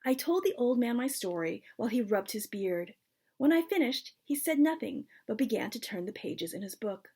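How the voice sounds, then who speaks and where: A woman's voice: on the high side, animated, normal speed, mellow, breathy edge. A woman reading aloud from a children's storybook as its author, in a narrative style. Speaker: author reading their book.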